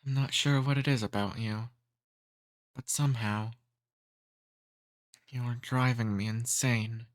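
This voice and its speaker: Casting: male actor for an ancient noble vampire, mid-pitched male voice, charming and softly dominant, intimate ASMR roleplay. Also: fairly even intonation, natural voice. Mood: enraptured, flirtatious. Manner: courtly, slow seductive teasing.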